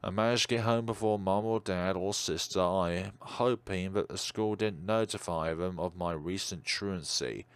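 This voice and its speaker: A man's voice: low voice, moderate pace, hardly any pauses, smooth, no room sound. A man reading visual novel narration aloud dramatically, stumbling over words now and then and correcting himself. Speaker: video game streamer reading dialogue aloud. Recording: music behind the voice.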